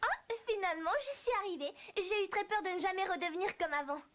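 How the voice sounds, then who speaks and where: A woman voicing a polite, bewildered little girl in an animated fairy tale, hesitant and earnest as if talking to herself. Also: very high-pitched, fast talker. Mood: musing. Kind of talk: French animated film dubbing.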